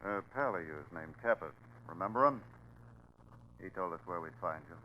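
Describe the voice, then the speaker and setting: An actor playing a curt, tough police sergeant who barks snappily in 1940s radio crime drama style. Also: male voice, sits low, animated, moderate pace. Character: tough, brisk police sergeant, loyal and bullying to suspects. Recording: dull lo-fi 1940s radio recording.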